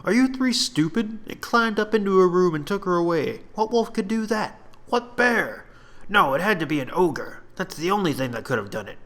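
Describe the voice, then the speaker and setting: A man voicing an excitable, argumentative young fantasy soldier, loud and high-strung. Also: moderate pace. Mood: scornful.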